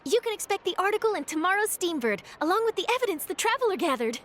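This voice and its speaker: A woman's voice: very high voice, very animated, fast talker. A woman voicing an eager, cute young girl in a video game, bubbly and earnest. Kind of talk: scripted video game voice acting. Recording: background music under the dialogue.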